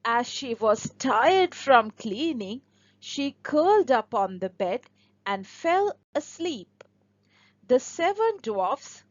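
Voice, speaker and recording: A woman narrating a scripted fairy tale for English learners in a deliberate, expressive storybook manner. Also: high voice, no room sound, lo-fi sound.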